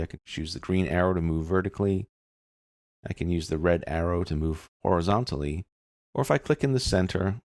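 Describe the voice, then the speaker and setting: A man narrating a casual step-by-step software tutorial in an informal, explanatory way. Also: low voice, vocal fry, no room sound, clean studio sound. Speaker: software instructor.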